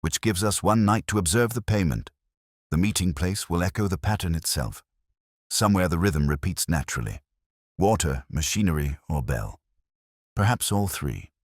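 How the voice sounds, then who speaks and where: A man voicing a shrewd, self-assured gentleman detective in an audiobook, with precise, formal pronouncements. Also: sits low, expressive, normal speed, dry recording, studio quality, pitched above the performer's own voice. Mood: cryptic, assured.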